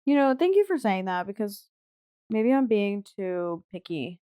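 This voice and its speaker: A woman's voice: medium pitch, sing-song, measured pace, warm tone, clear, resonant tone. A woman podcast host talking casually and conversationally about books with her co-host. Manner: informal, conversational. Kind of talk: casual book chat.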